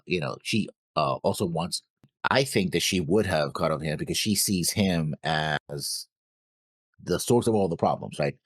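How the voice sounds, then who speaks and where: Man, very low voice, lively swooping pitch, husky, casual co-host commentary, halting phrasing. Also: no echo. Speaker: co-host of a reaction show. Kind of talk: casual discussion and episode commentary.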